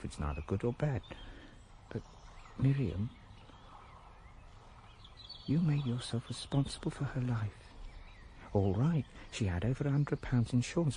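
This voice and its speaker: A man's voice: lowish voice, dark tone, slight background noise. An actor playing a wry, reflective middle-aged man with a musing, colloquial manner in a radio drama.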